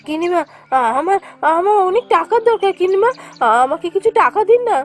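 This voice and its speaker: A woman's voice: extremely high pitch, brisk, faint music behind. A woman voicing a poor, anxious village wife in a cartoon fairy tale, pleading and emotive.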